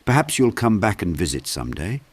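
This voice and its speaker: A man's voice: fairly low pitch, sing-song, a little fast, husky. A man voicing a gallant, kindly knight in an audiobook, with a courtly, benign manner. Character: gallant, kindly older male knight with fussy storybook warmth.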